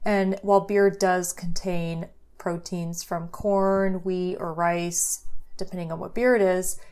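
A woman's voice: on the low side, level tone, slow, darkish tone, clear, resonant tone. A woman co-hosting a podcast as a cosmetic scientist, chatting casually and making joking asides. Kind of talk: casual chat.

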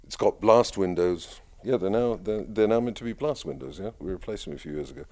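Deep-voiced man telling a story. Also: brisk, background noise. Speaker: kibbutz resident who leads prayers. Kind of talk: casual interview answers.

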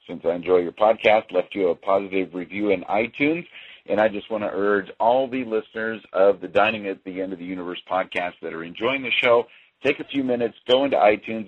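A man's voice: low voice, no room sound. A man leaving an upbeat, friendly, casual voicemail over the telephone as a listener calling in. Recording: muffled, roughly band-limited phone voicemail.

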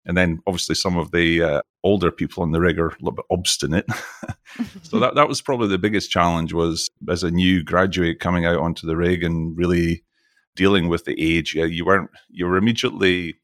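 A man's voice: low-pitched, steady tone, fairly quick, a bit bright, dry recording, clean studio sound. A middle-aged Scottish man chatting as an interview guest, jokey and talkative, often restarting his sentences. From Scotland. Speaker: podcast guest, oil industry executive. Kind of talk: casual interview chat.

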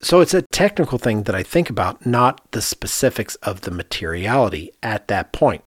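A man's voice: on the low side, very animated, normal speed, husky, no echo, studio quality. A man co-hosting a podcast as an architect, talking casually and thinking aloud, often voicing his own reasoning as quoted asides. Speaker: podcast host.

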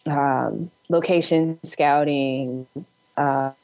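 A woman giving casual advice as a filmmaker guest in an interview, deliberate, with pauses between phrases. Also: low-pitched, steady tone, highs cut off.

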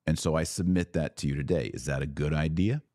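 A man's voice: extremely low pitch, fairly even intonation, fast, a little mellow, dry recording, studio quality. A man hosting a podcast, lecturing with emphasis and repeating his points for stress. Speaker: podcast host. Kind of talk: podcast commentary on church liturgy.

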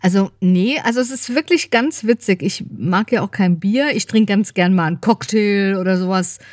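A woman's voice: mid-range voice, normal speed, no echo, studio quality. A middle-aged woman co-hosting a podcast in casual, colloquial conversation, often adding little tag questions. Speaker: podcast co-host. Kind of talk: casual chat.

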